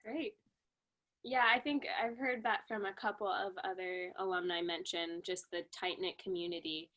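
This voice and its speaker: A woman's voice: mid-range voice, steady tone, moderate pace, a bit bright. A woman hosting an interview over a video call, asking friendly, upbeat questions.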